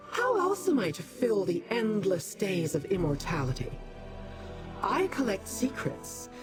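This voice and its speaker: A woman voicing a haughty immortal demon in a fantasy video game, condescending, imperious and formal in her deliberate delivery. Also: mid-range voice. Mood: sly, curious. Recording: music behind the voice.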